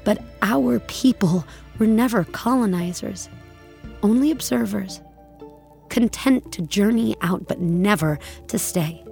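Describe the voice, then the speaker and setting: Haunted female sci-fi colonist, slow measured narration, creaky voice, brooding, uneasy, audio drama. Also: mid-range voice, darkish tone, faint music behind. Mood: somber, fearful. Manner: measured, deliberate, brooding delivery. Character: haunted, uneasy woman space colonist recalling her journey. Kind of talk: scripted audio drama narration, first-person.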